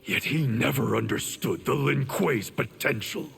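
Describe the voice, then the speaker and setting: A cold, arrogant ninja talks in heavy gravel at a middling pitch. He is haughty, clipped and unrepentant, a video game villain. Clear noise sits behind the voice. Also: measured pace. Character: cold, ambitious, arrogant male ninja traitor. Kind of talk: dramatic video game acting.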